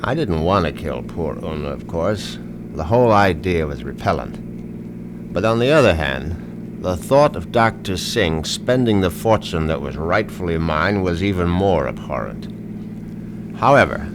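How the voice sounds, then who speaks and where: An actor playing a scheming, sardonic husband in a radio mystery drama, speaking with false sweetness. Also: male voice, deep voice, animated, unhurried, background noise. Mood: brooding, calculating. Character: scheming, sardonic husband plotting to kill his rich wife. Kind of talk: radio mystery acting with first-person narration.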